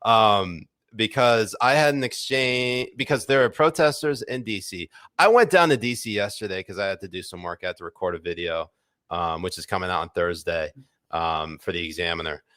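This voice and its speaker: A man's voice: sits low, normal speed, a bit bright, no room sound, studio quality. A man in his thirties co-hosting a podcast, chatting casually, swearing freely and laughing often as he rambles through stories. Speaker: podcast co-host. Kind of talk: casual chat.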